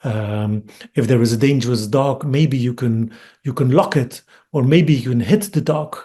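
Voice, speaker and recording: A man from Belgium with a Flemish Dutch accent, giving a webinar lecture over a video call, thoughtful and hesitant with frequent pauses and repeated words. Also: medium pitch, warm tone. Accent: Dutch-speaking Belgian. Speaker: academic speaker. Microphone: computer mic on video call.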